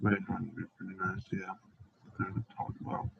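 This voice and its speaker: A man's voice: low voice, little pitch movement, brisk, vocal fry, slight background noise. A man hosting a casual, unscripted livestream, talking in a hesitant, rambling and repetitive way. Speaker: live stream host. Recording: dull, roomy livestream audio.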